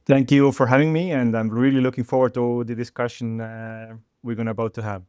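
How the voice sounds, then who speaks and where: A middle-aged man, a sports chiropractor guest answering in a halting podcast interview with many fillers and restarts. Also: mid-range voice, fairly even intonation, warm tone, smooth, dry recording. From Estonia.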